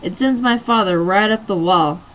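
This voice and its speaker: A laid-back teenage boy who talks in an easy, casual way, as a hoarse woman reads his lines. The delivery stays light and unhurried. It is a storybook reading. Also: medium pitch, a bit bright, lightly acted, rough recording. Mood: easygoing.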